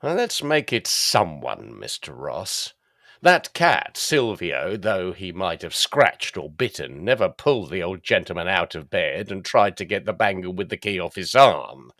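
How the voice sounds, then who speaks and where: An actor playing a dogged, plain-spoken police detective in a gothic audio drama, formal and deferential. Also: male voice, low-pitched, very animated, a little slow, fairly bright tone, no echo, studio quality, full character voice. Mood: earnest, suspicious.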